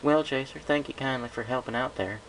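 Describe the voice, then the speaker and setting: A man's voice: medium pitch, fairly quick, fairly bright tone, pitched above the performer's own voice, lightly acted. A man voicing a protective big-sister pony, a direct, folksy country mare, reading a story. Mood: kindly encouraging. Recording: noisy home recording.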